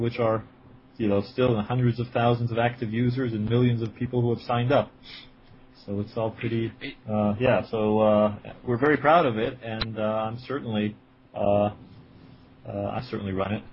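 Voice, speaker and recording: A man in his forties from Israel, answering interview questions with many fillers and restarts, and a slight cold. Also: medium pitch. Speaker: guest, writer and editor. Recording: dull lo-fi sound with faint noise.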